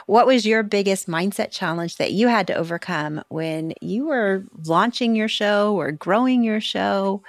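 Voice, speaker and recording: A woman's voice: mid-range voice, a little slow, few pauses, dry recording, clean studio sound. A woman co-hosting a podcast as a coach, enthusiastic and effusive as she introduces and praises her guest. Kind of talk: upbeat podcast interview.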